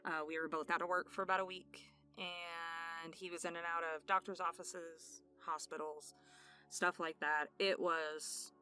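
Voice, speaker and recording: A woman's voice: fairly low pitch, level tone, a little slow, sharp tone. A woman host giving a casual, candid personal update to listeners in an informal, giggly way. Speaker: ASMR channel host. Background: music.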